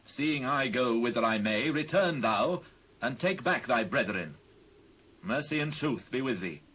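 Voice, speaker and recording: A British man playing a grave, devout fugitive king in a dramatised scripture, speaking solemn, measured archaic English. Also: medium pitch, lively intonation, fairly bright tone, slightly rough, dull audio. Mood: grave, kindly. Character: King David, grave sorrowful fugitive monarch, commanding and devout. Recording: faint hiss and ambience, old cassette.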